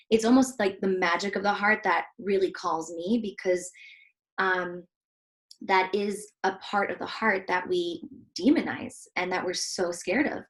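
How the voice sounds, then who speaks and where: A woman from Switzerland, a heart coach hosting a casual interview, speaking earnestly and reflectively with many fillers. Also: medium pitch. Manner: earnest, reflective, soft-spoken with frequent fillers.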